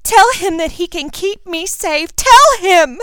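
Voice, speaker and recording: A woman voicing a distraught, pleading teenage girl in a fantasy story, plaintive and breathy. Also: very high-pitched, expressive, slow, no echo, pitched above the performer's own voice, heavily acted. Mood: frantic pleading.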